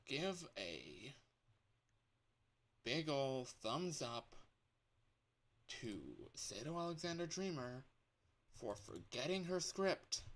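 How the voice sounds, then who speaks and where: A man chatting casually to livestream viewers in an excitable, scattered, playful way, with frequent exclamations. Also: a little high. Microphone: external microphone.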